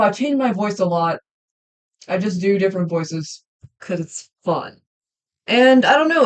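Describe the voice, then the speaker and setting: A young woman chatting casually on a livestream while she draws, rambling and hesitant, with many ums and restarts. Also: fairly low pitch. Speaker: livestreamer and hobby voice actor.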